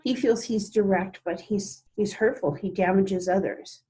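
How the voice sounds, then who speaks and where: A woman's voice: medium pitch, fairly even intonation, a little slow, mellow, breathy edge. A woman speaking conversationally as a participant in a coaching role-play over an online call. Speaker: HR director seeking coaching.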